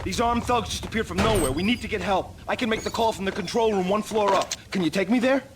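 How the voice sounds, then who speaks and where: An actor playing a shaken, grateful survivor of an attack in a crime game, talking urgently. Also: male voice, high-pitched, fast, close to an everyday voice. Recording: loud noise and clicking behind.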